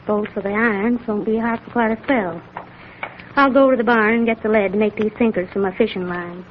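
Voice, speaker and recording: A woman's voice: mid-range voice, a little fast, a little hiss or hum, natural voice. A woman playing a polite, dutiful grown daughter in a 1930s radio drama. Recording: muffled 1930s radio recording, music.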